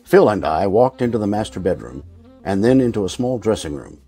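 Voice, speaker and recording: Male actor as noir detective narrator, world-weary and wry, deep, relaxed voice, old-time radio drama. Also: a little fast, husky, faint music behind, exaggerated voice. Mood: dry, sardonic. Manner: dry, sardonic.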